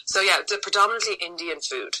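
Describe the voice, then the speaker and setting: A woman who grew up in Ireland, speaking as a podcast guest over a remote call. Her voice is very bright and sharp, and her pitch sits in the middle. She talks in a chatty way and leans on fillers. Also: animated, quick pace, poor audio. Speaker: guest, nutrition researcher. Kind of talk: casual interview chat. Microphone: remote video call.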